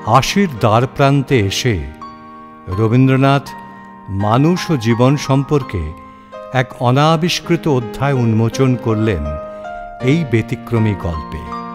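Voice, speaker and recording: A man narrating a literary audio story in a measured, expressive, deliberate way with pauses. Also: mid-range voice, faint music behind. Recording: long hall-like reverb.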